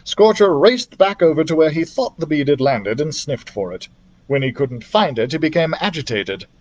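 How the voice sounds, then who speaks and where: Animated male storybook narrator. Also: fairly high pitch. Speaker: library storyteller.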